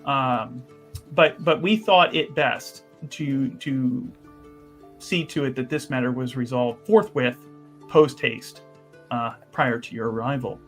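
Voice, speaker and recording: A man playing a flustered, obsequious university representative in improvised tabletop roleplay, halting and over-apologetic in formal language. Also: medium pitch, fairly bright tone, faint music behind. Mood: eager to placate.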